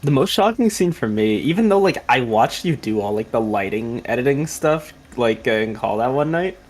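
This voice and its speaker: Husky voice in casual livestream chat. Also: medium pitch, lively intonation, moderate pace, a little mellow, soft background music. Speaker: fandub team member on a livestream.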